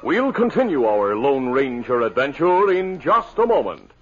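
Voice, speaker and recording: A man's voice: mid-range voice, sing-song, measured pace, over loud music. A man narrating a 1950s radio adventure as its announcer in a dramatic, scripted style. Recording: muffled old radio recording.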